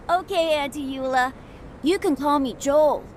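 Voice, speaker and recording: A woman's voice: high-pitched, unhurried, a little mellow, a little hiss or hum. A woman voicing a sweet, polite, slightly shy little boy in a video game. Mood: happy.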